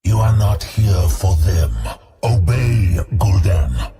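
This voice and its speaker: A man's voice: sits low, animated, slow, warm tone, soft background music, exaggerated voice. An actor playing an imperious male demon lord in an audio drama, commanding, cold and curt.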